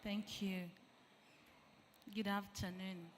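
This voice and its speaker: A woman's voice: medium pitch, little pitch movement, slow, a little hiss or hum. A woman, raised in Uganda, giving an earnest statement at a conference and asking the audience rhetorical questions. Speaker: refugee representative, panel speaker. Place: large conference hall. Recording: long reverb of a large conference hall.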